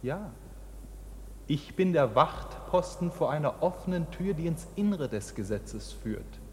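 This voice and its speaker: An actor playing a stern priest of a court in a radio drama, solemn and sermonizing. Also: male voice, a little high, animated, unhurried, slight background noise. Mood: grave, resigned. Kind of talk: dramatic radio play acting. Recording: long church-like reverb, background ambience.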